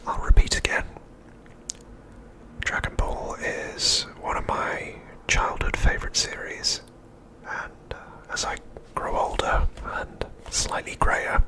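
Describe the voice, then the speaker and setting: A middle-aged man whispers his way through an ASMR narration. His voice is all breath, with no voiced tone. He reads gently and takes his time, leaving deliberate pauses between phrases. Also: rough recording. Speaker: ASMR host.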